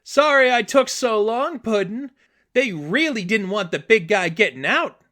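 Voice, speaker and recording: A man's voice: sits high, expressive, a little slow, bright, forceful tone, pitched above the performer's own voice, heavily acted. A man voicing a playful, breezy, flirty female supervillain in a story reading.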